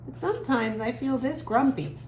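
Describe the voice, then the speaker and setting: A woman giving a casual art lesson for children, musing gently aloud as she draws. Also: high-pitched, lively intonation. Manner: gentle, musing, talks while drawing with trailing pauses. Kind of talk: casual creative drawing lesson, thinking aloud. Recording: echoey room with clear background noise.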